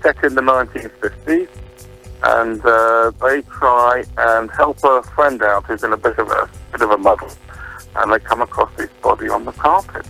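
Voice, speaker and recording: A man's voice: medium pitch, steady tone, normal speed, vocal fry, bright, forceful tone, soft background music, low-quality recording. A man chatting casually as a playwright guest on the radio, modest and a little hesitant. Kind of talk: casual radio interview chat.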